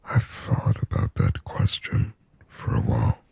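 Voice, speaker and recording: A man playing a lonely, yearning sleep paralysis demon in a slow, breathy, raspy ASMR whisper, close to the microphone. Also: a little mellow, no echo, lightly acted. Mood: tender longing. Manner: slow, brooding, pleading, poetic. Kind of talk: whispered ASMR roleplay monologue.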